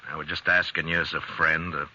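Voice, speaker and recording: A man acting a gruff, weary but loyal frontier marshal in a 1950s radio drama, with a terse, understated delivery. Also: rumbling low, flat delivery, a little fast, sharp tone, heavily acted. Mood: grave, resigned. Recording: muffled old radio recording, clear noise.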